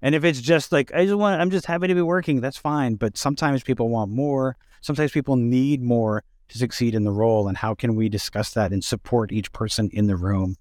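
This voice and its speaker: A man's voice: medium pitch, brisk, hardly any pauses, darkish tone, dry recording, studio quality. A man interviewing in a casual conversational way, thoughtful and a bit hesitant as he forms his points. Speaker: interviewer and fellow theatre director.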